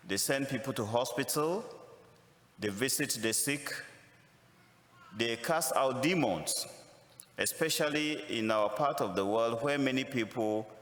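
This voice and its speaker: A man with a Ghanaian accent, a visiting priest giving a missionary appeal homily, speaking slowly and deliberately with touches of humor. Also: medium pitch, a bit bright. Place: large church. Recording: echoey church from a distance.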